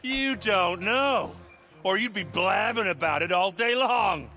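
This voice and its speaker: A lovable tough-guy warrior for a cartoon game. His delivery is brash, punchy and jokey, with a forceful tone and lively swings in pitch. He comes across as goofy and affectionate. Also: slow, soft background music, exaggerated voice. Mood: mocking. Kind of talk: comedic cartoon game acting.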